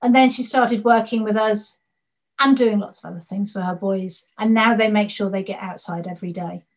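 A woman's voice: medium pitch, steady tone, normal speed. A woman giving an enthusiastic presentation over slides on a video call, telling stories and letting her voice drop away at the ends of points. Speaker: panel speaker.

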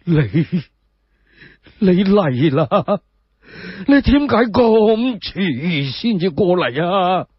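A man voicing a frail, sorrowful old sage in Cantonese for a radio drama, drawn-out and halting. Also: sits high, sing-song, husky, no echo, muffled recording, exaggerated voice. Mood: weary, plaintive. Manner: drawn-out, halting, repeating words.

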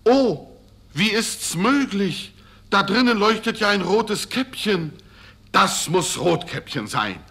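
A man's voice: high-pitched, sing-song, slow pace, gravelly, a bit bright, breathy edge, full character voice. An actor playing an indignant, good-hearted huntsman in a fairy-tale radio play, declaiming and exclaiming. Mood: amazed, hopeful. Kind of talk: 1970s German fairy-tale record acting.

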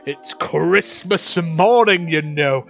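A man's voice: on the high side, takes it slowly, gravelly, fairly bright tone, soft background music, exaggerated voice. A man playing a giddy, generous old uncle in an audio drama, rapturous and prone to repeating himself. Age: older. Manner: rapturous, breathless listing and repeating.

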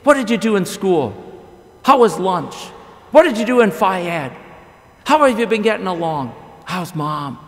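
Middle-aged male pastor, slightly high and gravelly, animated storyteller, podium mic in an echoey chapel. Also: normal speed. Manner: animated storytelling with wide gestures and rhetorical questions. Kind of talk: chapel sermon. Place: large chapel with long reverb. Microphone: podium microphone.

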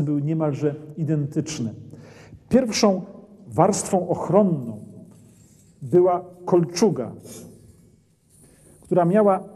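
A man's voice: a little high, warm tone, breathy edge, long reverb tail. A middle-aged man presenting a history documentary, explaining armour in an explanatory way in a castle armoury, on a lapel mic. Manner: explanatory, points at armour as he speaks. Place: dim brick castle armoury, indoors.

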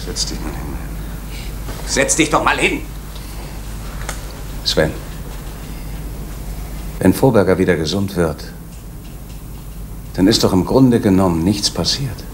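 A deep, placating official hiding something in an old hissy radio play. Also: male voice, animated, slow, long pauses. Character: evasive middle-aged male post office official. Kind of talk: 1980s East German radio crime drama.

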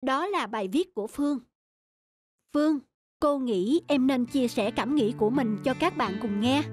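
Gentle cartoon schoolteacher. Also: female voice, high-pitched, animated, moderate pace, faint music behind. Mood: earnest. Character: gentle, caring female schoolteacher addressing her class. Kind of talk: animated cartoon dubbing.

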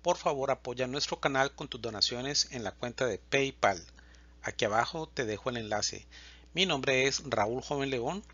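A man teaching a Spanish lesson, reading example questions and answers slowly and distinctly. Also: mid-range voice, pushed and bright, breathy edge, no room sound, muffled recording. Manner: reads questions and answers slowly and distinctly, pausing between lines. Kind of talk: slow, clear language lesson reading example dialogues.

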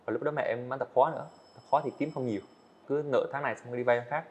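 Eighteen-year-old man, fast and earnest, muffled lo-fi podcast audio. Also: mid-range voice, fairly even intonation. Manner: earnest, candid, hesitant restarts. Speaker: guest animator. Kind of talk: podcast interview storytelling. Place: indoor studio set. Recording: dull lo-fi sound.

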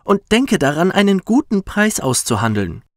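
A man as an emphatic storybook mother, pitched high. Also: sing-song, normal speed, no room sound, clean studio sound. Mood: instructing. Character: poor boy's mother, emphatic storybook woman.

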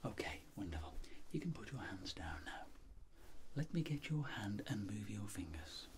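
A middle-aged man whispering as a doctor in an ASMR roleplay, giving gentle, polite step-by-step instructions. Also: fairly low pitch, very animated, normal speed, slight background noise. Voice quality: soft whisper. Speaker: ASMR performer playing a doctor.

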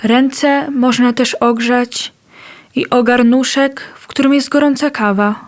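Very slow, flat-toned woman reciting verse. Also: on the high side. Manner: even, unhurried. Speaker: poem reader. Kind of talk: poetry recitation.

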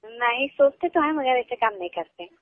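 A woman with a high voice speaks over a thin telephone line. She chats casually in short, plain replies. The sound is dry and tinny. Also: lively intonation, normal speed, no room sound. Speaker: person on a phone call. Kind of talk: casual phone conversation. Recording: tinny phone-line call recording.

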